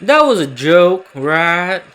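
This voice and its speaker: A man's voice: high-pitched, very animated, slow pace, fairly bright tone, full character voice. A man voicing a challenging press reporter in an anime fan dub, with a pointed, questioning manner.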